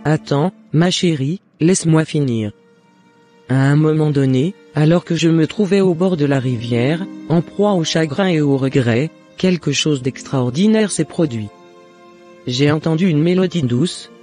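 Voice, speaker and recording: A man voicing a remorseful middle-aged mother in scripted cartoon dialogue, explaining herself in a measured, apologetic way. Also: on the high side, warm tone, soft background music, close to an everyday voice. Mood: solemn.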